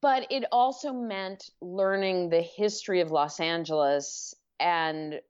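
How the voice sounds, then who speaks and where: A middle-aged woman speaking as an author in a podcast interview, thoughtful and measured, often restarting her sentences. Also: fairly low pitch, a little mellow, clean tone. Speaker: author and guest. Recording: dull lo-fi podcast audio.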